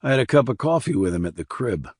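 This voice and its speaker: A man's voice: mid-range voice, big pitch swings, fast talker, no room sound, clean studio sound. A man voicing a blunt, probing army investigator in audiobook dialogue, terse and questioning.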